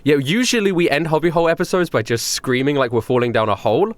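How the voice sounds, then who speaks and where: A man's voice: a little high, fast talker, no echo. A man co-hosting a podcast, enthusiastic and a little hesitant, with many fillers. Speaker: podcast co-host. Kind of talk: casual podcast chat.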